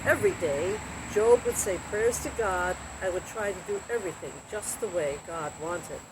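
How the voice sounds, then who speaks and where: A woman hosting a Bible story time, reading a children's storybook aloud in simple, deliberate phrases with expressive emphasis. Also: on the low side. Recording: very noisy recording with steady background noise.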